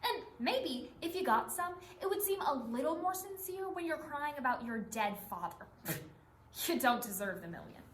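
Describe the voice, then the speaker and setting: A woman's voice: high voice, lively intonation, normal speed, a bit bright. A woman performing readers theatre as a cruel, taunting reality show contestant, with scornful theatrical delivery. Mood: scornful, mocking. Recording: echoey room, some background noise.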